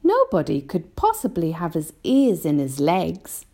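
A woman's voice: lowish voice, huge pitch swings, unhurried, warm tone, exaggerated voice. A woman voicing a small, curious, polite boy in a storybook, earnest and full of wonder. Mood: incredulous. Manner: earnest, wide-eyed.

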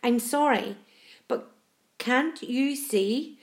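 A woman voicing a calm, confident young boy in a storybook, plain-spoken and level-headed. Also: high-pitched, drawn-out words. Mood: earnest.

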